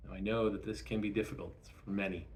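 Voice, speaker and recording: A man leading a guided meditation, speaking gently and instructively in short phrases with frequent pauses. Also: fairly low pitch, little pitch movement.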